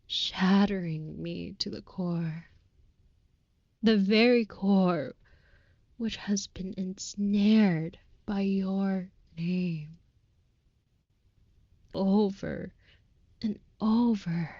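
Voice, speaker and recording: A woman playing a devoted, tender vampire girlfriend in an intimate audio roleplay, speaking softly and breathily in florid, reverent, formal language. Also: mid-range voice, very slow, pauses often, no echo, muffled recording. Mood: impassioned devotion. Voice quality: soft, breathy with whispered moments.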